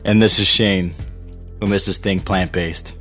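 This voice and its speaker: Joking low-voiced man cohost. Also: unhurried, faint music behind. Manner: joking, relaxed. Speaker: podcast co-host. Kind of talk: casual chat.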